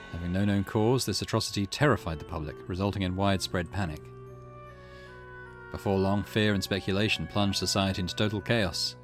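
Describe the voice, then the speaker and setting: Solemn occult-story narrator read by a man, low and measured, music clearly underneath. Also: lightly acted. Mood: grave. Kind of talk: scripted narration read aloud from a visual novel. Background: game music.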